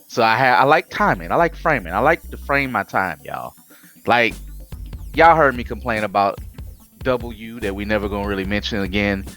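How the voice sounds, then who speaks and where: A talkative man hosting a casual podcast, rambling through his opinions with animated asides. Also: mid-range voice. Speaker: podcast host. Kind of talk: casual chat.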